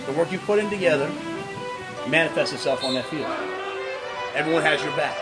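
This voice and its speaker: A man's voice: fairly high pitch, brisk. A man giving an earnest, rousing motivational speech in a sports video. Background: loud music with strings.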